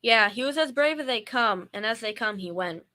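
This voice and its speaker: A woman's voice: fairly high pitch, lively intonation, normal speed, pushed and bright. A young female performer playing a sensible, sarcastic, exasperated roommate in old radio comedy style. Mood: dry, sarcastic. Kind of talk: 1940s radio comedy acting.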